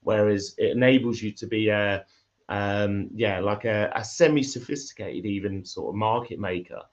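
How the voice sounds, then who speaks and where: A man, a crypto project founder, talking in a livestream interview with a croaky voice from illness, often repeating words and restarting his sentences. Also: on the low side, moderate pace, highs cut off.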